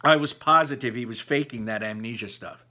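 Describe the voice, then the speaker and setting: A man's voice: mid-range voice, a little fast, bright, forceful tone. A man voicing an upset, defensive teenage girl from a storybook, arguing her side with her parents. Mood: insistent.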